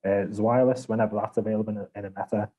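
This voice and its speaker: Man, low-ish voice, warm and mellow, casual podcast panelist, rambling, self-deprecating. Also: steady tone, racing. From Liverpool, England. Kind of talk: casual gaming chat.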